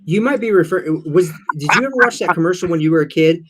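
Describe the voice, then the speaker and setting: A man in casual talk-show chat, telling stories in an animated, joking way. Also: high voice, fast, very noisy. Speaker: show co-host.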